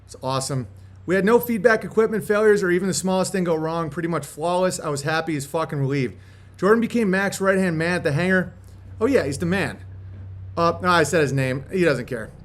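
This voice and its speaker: A man's voice: on the high side, a little fast. A man chatting casually in slangy, sweary language as a host, partly reading a letter aloud. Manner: laid-back slangy talk with frequent swearing.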